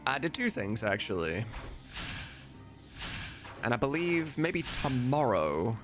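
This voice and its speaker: A man's voice: medium pitch, very animated, takes it slowly, over music. A man chatting casually on a live stream, rambling and informal with some swearing. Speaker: live streamer and game modder.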